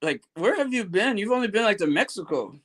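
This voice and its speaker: Dude co-hosting, bit higher voice, keeps teasing and laughing. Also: male voice, big pitch swings, fairly quick, fairly bright tone. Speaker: podcast co-host. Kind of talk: casual chat.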